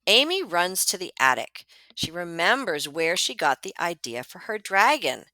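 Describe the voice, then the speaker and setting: A woman reading a children's picture book aloud as a librarian would for students, with deliberate, dramatic emphasis on words. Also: fairly low pitch, very animated, bright, forceful tone, no room sound.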